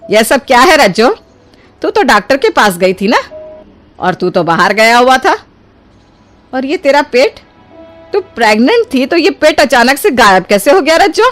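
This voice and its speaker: A woman voicing a trusting, soft-hearted older mother-in-law in a cartoon moral story, with an earnest, expressive delivery. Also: high voice, normal speed, long pauses. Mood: bewildered, questioning. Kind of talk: animated Hindi moral story dialogue. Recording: music behind the voice. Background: music.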